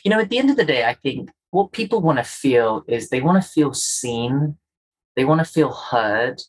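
A man from Kenya talks through his ideas as an interview guest. His voice is a little husky and sits at a middle pitch. He speaks earnestly and with emphasis, often building his points as a run of rhetorical questions. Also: lively intonation, moderate pace. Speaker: guest, culture and inclusion executive. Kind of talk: conversational interview, explanatory.

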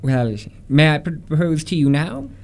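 A man playing an earnest, polite Victorian gentleman in his late twenties in a radio comedy, formal and a little flustered. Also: on the high side, animated, unhurried, gravelly. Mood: earnest, pleading. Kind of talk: stage-comedy radio acting.

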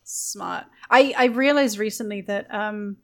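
A woman's voice: mid-range voice, measured pace, creaky, a bit bright. A woman chatting casually about a video game as a podcast guest.

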